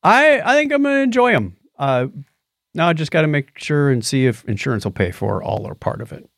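A man's voice: a little high, very animated, a little fast, vocal fry, a bit bright, dry recording, clean studio sound. A middle-aged man co-hosting a podcast, chatting casually about gadgets. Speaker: podcast co-host.

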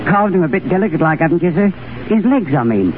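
An actor playing a devoted, deferential manservant turned soldier, homely and earnest, in 1930s radio style. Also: male voice, a little high, very animated, fast talker. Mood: fond. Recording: tinny, hissy 1930s radio recording.

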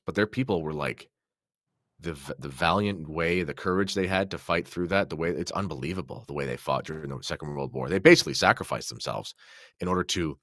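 Guy from canada, low voice, earnest podcast chat about faith, dry close sound. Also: a bit bright, no room sound. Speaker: podcast guest. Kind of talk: casual religious discussion.

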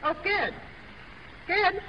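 A man's voice: very high-pitched, expressive, drawn-out words, slight background noise, full character voice. A man acting in a 1930s radio drama as a nosy, dismissive showbiz crony, slangy and pressing. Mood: prying. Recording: echoey, muffled 1930s radio broadcast.

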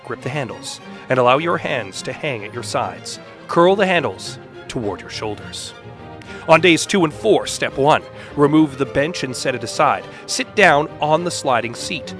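A man's voice: medium pitch, lively intonation, normal speed, fairly bright tone. A man reading scripted instructional text aloud as a staged dramatic reading. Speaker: announcer and reader. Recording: music behind the voice.

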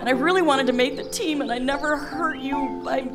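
An actress playing an eager, oversharing young former athlete in a comedy audio drama, earnest and excitable. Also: female voice, sits high, brisk, close to an everyday voice. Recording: loud music under the voice.

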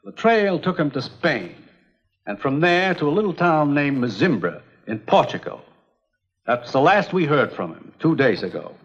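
An actor playing an earnest, authoritative commissioner in a 1950s adventure drama. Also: male voice, on the high side, big pitch swings, moderate pace, slightly rough, full character voice. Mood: grave, urgent. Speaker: commissioner giving orders. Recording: dull, muffled 1950s soundtrack.

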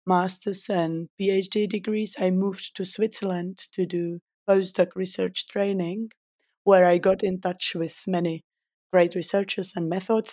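A woman from the Republic, a research scientist giving thoughtful interview answers with frequent pauses. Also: lowish voice, a little mellow, dry recording, studio quality. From Czech Republic. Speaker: guest scientist.

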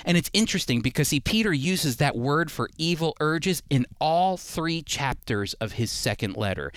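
A man's voice: mid-range voice, no room sound. A man preaching a sermon, addressing listeners directly and repeating key points for emphasis. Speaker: preacher and Bible teacher.

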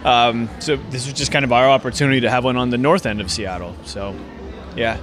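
A man's voice: medium pitch, fairly even intonation, fairly quick, fairly bright tone. A man with a mid-range voice answers interview questions casually as a member of a community radio station. Faint music plays behind him. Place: inside a brewery restaurant.

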